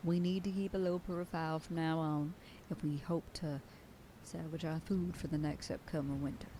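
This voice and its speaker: A woman's voice: deep voice, fairly even intonation, normal speed, dark tone, a little hiss or hum, close to an everyday voice, low-quality recording. A woman voicing a bossy female werewolf sheriff who lectures her pack in an ASMR roleplay, sometimes dropping to a whisper. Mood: stern warning. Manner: stern, commanding, lectures a group. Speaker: voice actor.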